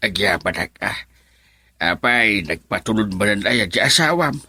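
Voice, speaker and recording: Slow, husky old Ilocano father-in-law. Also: fairly low pitch, expressive, sharp tone, no room sound, studio quality, full character voice. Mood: pleased. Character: jovial older father-in-law, teasing and chuckling. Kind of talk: Ilocano radio drama acting.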